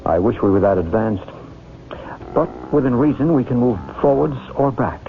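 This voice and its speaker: A psychic healer in a vintage radio play. He is measured, soothing and quietly commanding, at a middling pitch. The sound is thin and narrow, with faint music behind. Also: animated, creaky. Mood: patient. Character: calm, commanding psychic healer guiding a hypnotic trance. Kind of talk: old-time radio drama acting.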